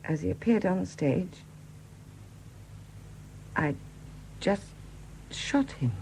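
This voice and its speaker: An actress in a 1970s British radio drama playing a widowed murderess who confesses in a hesitant, confiding manner. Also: female voice, lowish voice, lively intonation, takes it slowly, gravelly, a little mellow, a little hiss or hum, exaggerated voice, rough recording. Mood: tense recollection. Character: quiet confessing murderess, a Welsh sculptor's widow.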